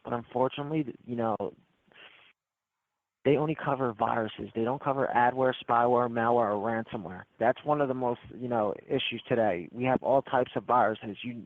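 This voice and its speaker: A man working as a phone tech support salesman, running a smooth, persistent sales pitch and repeating his selling points over and over. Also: no room sound. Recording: tinny phone line.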